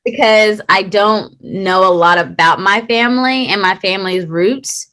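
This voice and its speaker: A woman in her late twenties from Texas, an actor being interviewed, talking in a chatty, lively way and laughing often. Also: mid-range voice, unhurried. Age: late 20s. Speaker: actor guest. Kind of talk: casual interview chat.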